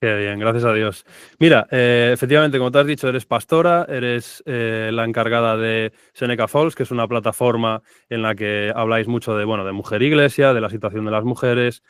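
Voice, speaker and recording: Eager male podcast host, warm toward his guest; smooth, resonant voice, dry close recording. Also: mid-range voice, a little fast, no room sound. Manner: enthusiastic, affectionate, hesitant fillers. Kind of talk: podcast interview hosting.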